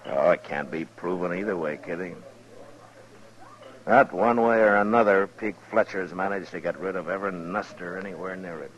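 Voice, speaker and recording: Vintage, muffled radio western sound carries a man acting an easygoing frontier marshal. His voice sits deep and he talks in an unhurried, plain-spoken drawl. He stays steady and laconic throughout. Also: close to an everyday voice. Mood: matter-of-fact. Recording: dull vintage 1950s radio recording.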